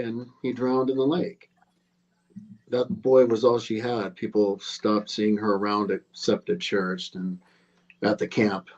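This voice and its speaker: A man's voice: fairly low pitch, a little mellow, lo-fi sound. A man playing a young camp counselor casually telling a murder legend in a live horror script read. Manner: casual, teasing storyteller.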